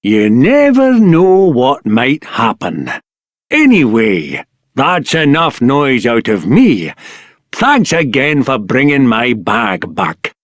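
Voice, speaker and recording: Slow, expressive blunt man. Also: mid-range voice, no room sound, clean studio sound. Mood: grateful, curious. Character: gruff but grateful man handing out a modest reward. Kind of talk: video game character acting.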